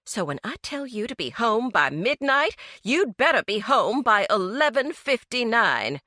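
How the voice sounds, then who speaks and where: Woman doing a shrill scolding frantic mother. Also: sing-song, slow, sharp tone, dry recording, clean studio sound, heavily acted. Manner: shrill, scolding, high-pitched.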